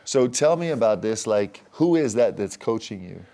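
Enthusiastic lower-voiced male coach. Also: expressive, dark tone. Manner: enthusiastic, articulate, speaks fast when praising. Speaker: basketball coach. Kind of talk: casual interview chat.

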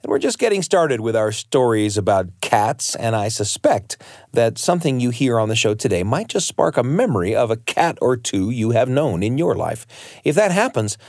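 A man hosting a radio show with expressive, deliberate, storyteller-like phrasing. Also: medium pitch, no echo, clean studio sound. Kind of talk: radio hosting, scripted intros and round-table chat.

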